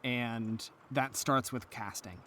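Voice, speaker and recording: Guy producer on a podcast, normal pitch, talking casually. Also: fairly even intonation, takes it slowly, a bit bright, slight background noise. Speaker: audio drama producer, guest. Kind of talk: casual interview talk.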